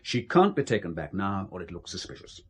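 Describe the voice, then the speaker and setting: A cold, ruthless intelligence chief in a spy radio play. The voice is husky and swings very widely in pitch, suave and patronising, leaning hard on key words. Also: male voice, sing-song, brisk, heavily acted. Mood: cool, calculating. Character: cold, calculating, ruthless male spymaster. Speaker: actor in a spy radio drama. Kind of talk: radio drama acting.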